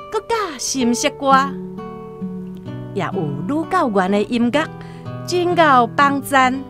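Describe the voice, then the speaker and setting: Taiwanese lady narrating a radio drama, slow storyteller, really lively pitch, guitar music behind. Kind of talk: scripted literary storytelling. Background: plucked guitar music.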